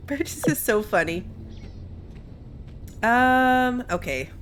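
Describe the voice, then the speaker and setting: A woman gives casual gameplay commentary in a mid-pitched, very expressive voice. She is chatty and thinks aloud, with faint music in the background. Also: drawn-out words. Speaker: gaming video host. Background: faint game music.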